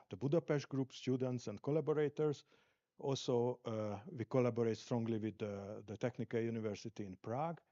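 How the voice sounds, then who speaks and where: Hungarian-accented male lecturer, mellow tone, halting delivery, dull recording. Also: mid-range voice. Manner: halting, heavy with hesitations. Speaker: invited lecturer. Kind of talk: scientific conference talk.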